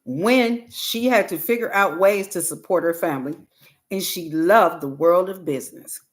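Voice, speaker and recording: A woman reading a self-help book aloud on a livestream, in deliberate, halting phrases with pauses. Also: fairly low pitch.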